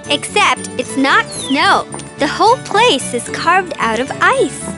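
A cheerful, confident little cartoon girl, with a very high voice. She speaks unhurriedly and swings expressively through her notes, encouraging and explaining over background music. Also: big pitch swings, slow pace, full character voice. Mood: upbeat, confident. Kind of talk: children's cartoon acting.

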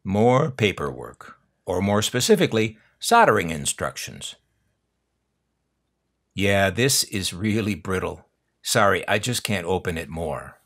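A man's voice: low-pitched, sing-song, a little slow, fairly bright tone. An older American man narrating an unboxing video with wry, dry humor and nostalgic asides. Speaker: host of a collector's unboxing channel.

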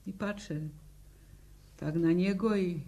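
An actress playing a grieving, stubborn village widow in a 1960s Polish radio drama, speaking plainly and haltingly in a sombre rural manner. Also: female voice, lowish voice, warm tone. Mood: haunted, brooding. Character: grieving peasant war widow, stubborn, haunted.

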